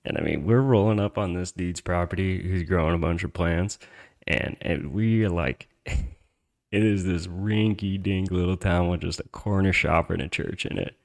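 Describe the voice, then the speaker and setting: A man's voice: deep voice, moderate pace, creaky. A man hosting a podcast, telling a personal story in a casual, slangy, conversational way with some swearing.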